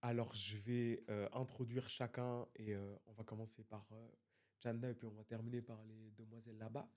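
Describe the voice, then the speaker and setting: A man hosts a relaxed podcast. He pauses often and fills the gaps while introducing and questioning his guests. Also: fairly low pitch. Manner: hesitant, many fillers. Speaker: podcast host. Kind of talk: casual podcast interview.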